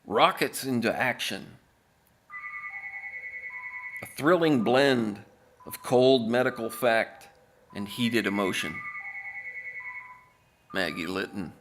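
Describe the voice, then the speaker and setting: A man reading aloud as an author, in a deadpan, measured manner with deliberate pauses. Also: fairly low pitch, a little hiss or hum. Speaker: author reading own book.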